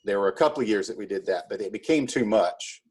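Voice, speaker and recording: A man being interviewed as a school drama club director, explaining in a casual, halting way. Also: lowish voice, animated, a little mellow, slightly rough.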